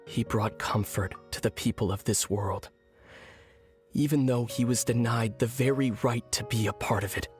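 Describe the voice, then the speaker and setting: An actor playing a solemn, weary young man, a long-lost twin brother in a game, who speaks softly and gravely in measured lines. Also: mid-range voice, close to an everyday voice. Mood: solemn, reflective. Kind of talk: scripted game voice acting. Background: background music.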